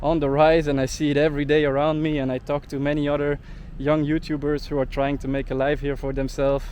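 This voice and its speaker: Young male vlogger, slightly high voice, indignant rant full of "like," faint street traffic behind. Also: flat delivery, fairly quick, darkish tone. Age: young adult. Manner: indignant, heavy use of "like" fillers. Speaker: travel vlogger. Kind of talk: ranting vlog monologue.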